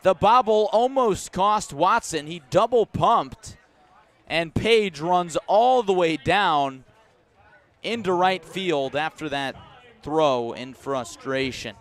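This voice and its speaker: An American man doing live radio baseball play-by-play, measured in description and lifting his voice for the action. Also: sits high. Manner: measured description rising to excited calls. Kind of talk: live radio sports commentary.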